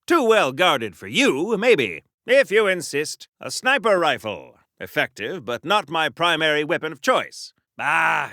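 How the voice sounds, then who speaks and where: High-pitched, wholesome wartime superhero. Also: male voice, sharp tone, dry recording, clean studio sound. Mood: polite, bemused. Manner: quaint, polite, theatrical, declamatory. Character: earnest, wholesome 1940s patriotic soldier superhero, plucky and prim. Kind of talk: golden-age comic book hero game dialogue.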